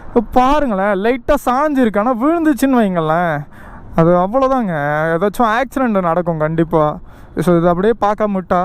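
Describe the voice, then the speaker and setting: High-pitched man, casual Tamil Nadu vlogger. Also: animated, a little fast, clear, resonant tone. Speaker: motorcycle food vlogger. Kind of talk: casual vlog chat. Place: outdoors on a roadside. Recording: noisy outdoor roadside with traffic. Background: traffic and vehicle noise.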